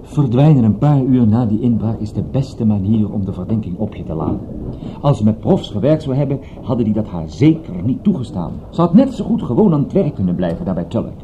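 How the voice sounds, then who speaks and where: Sly police inspector in old radio play, teasing and condescending, tinny with lots of hiss. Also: male voice, fairly low pitch, sing-song, brisk. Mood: reasoning, courteous. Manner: dry, needling, politely condescending. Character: shrewd, drily teasing male police inspector. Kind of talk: radio drama dialogue.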